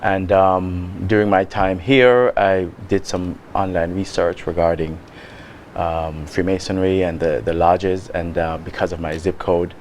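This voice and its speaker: Jamaican man, low voice, soft-spoken interview guest, slight background hiss. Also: fairly even intonation. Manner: soft-spoken, measured, with many ums. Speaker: guest, lodge member. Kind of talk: casual interview.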